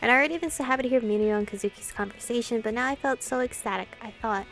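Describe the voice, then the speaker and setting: A woman doing an informal, expressive playthrough of a visual novel, reading and commenting as host. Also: on the high side, very fast, faint music behind. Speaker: gaming video host.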